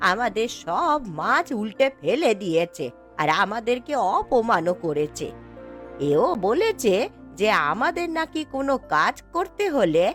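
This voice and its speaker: A woman's voice: on the high side, fairly bright tone. Woman acting feisty, plaintive old village granny. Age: older. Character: poor, feisty old village grandmother, indignant and proud. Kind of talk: Bengali fairy-tale cartoon voice acting. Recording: background music throughout.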